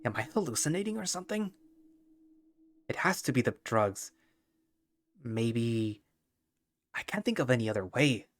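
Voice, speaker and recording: A man's voice: mid-range voice, big pitch swings, normal speed, long pauses, a bit bright, no room sound, studio quality. A man voicing a frightened, defiant young man who curses as he panics. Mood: panicked. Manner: panicked, cursing.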